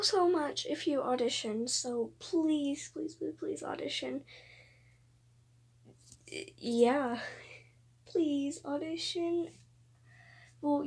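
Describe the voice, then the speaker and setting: A woman's voice: sits high, takes it slowly, pauses often, a little mellow. A young woman making a casual, rambling announcement to viewers, hesitant and repeating herself, with occasional voice cracks. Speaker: video creator announcing voice auditions. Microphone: phone voice recorder app.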